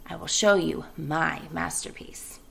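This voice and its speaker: A woman voicing a tired, gentle female spider in a storybook, reassuring and wise, in a low, calm, hushed voice. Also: a little slow, gravelly, exaggerated voice. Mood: calm, secretive.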